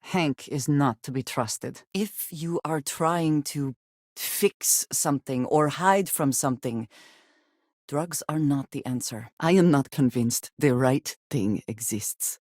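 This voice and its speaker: A woman voicing a guarded, earnest and loyal space companion in a video game, speaking formally and precisely in measured phrases. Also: low voice, a little mellow, dry recording, studio quality. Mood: cool, serious. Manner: formal, measured, precise diction without contractions.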